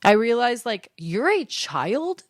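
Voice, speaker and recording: A woman in her twenties, talking casually to the camera in a sit-down vlog chat, chatty and animated with lots of fillers. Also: on the high side, takes it slowly, no echo, clean studio sound. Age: 20s. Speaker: vlogger.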